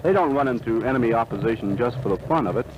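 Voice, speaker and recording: A man playing a calm young fighter pilot. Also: medium pitch, racing, lightly acted. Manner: easygoing, reassuring. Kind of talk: 1940s radio drama acting. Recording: noisy old radio recording.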